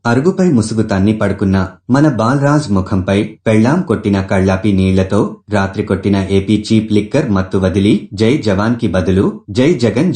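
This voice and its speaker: A man delivers scripted comic narration. His voice is fairly deep and mellow. The sound is roomy, with noticeable echo around it.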